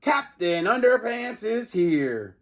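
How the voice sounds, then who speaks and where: A man's voice: high-pitched, very animated, takes it slowly, fairly bright tone, pitched above the performer's own voice, full character voice. A man voicing a bold, cocky, childlike male superhero who declares himself heroically and sings out. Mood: heroic.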